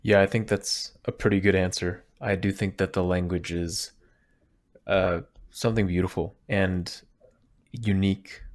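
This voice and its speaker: Very deep-voiced male podcast host. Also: steady tone, a little slow. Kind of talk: casual interview questions.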